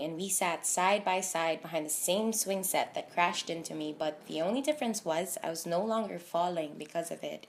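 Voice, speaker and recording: A woman in her early twenties delivers slam poetry in a fairly low voice with a creaky, fry-laden edge. Her delivery is deliberate and emotive, setting off phrases with dramatic pauses and weighted emphasis. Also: darkish tone. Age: early 20s. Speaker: slam poet. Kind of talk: slam poetry recitation, dramatic spoken word.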